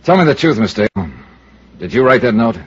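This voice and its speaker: An actor playing a cool, wry insurance investigator in a 1950s radio detective drama. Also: male voice, medium pitch, expressive, a little fast, breathy edge. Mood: probing, dry. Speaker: lead actor and narrator. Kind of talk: 1950s radio detective acting, first-person narration. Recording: dull old radio recording with music.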